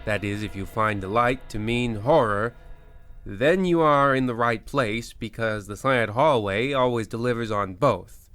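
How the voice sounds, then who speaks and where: A man's voice: mid-range voice, a bit bright, no echo, faint music behind. A man hosting and narrating a comedy horror radio show in a theatrical, mock-spooky way, drawing out his lines dramatically. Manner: theatrical, drawn-out dramatic pauses. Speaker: narrator and host.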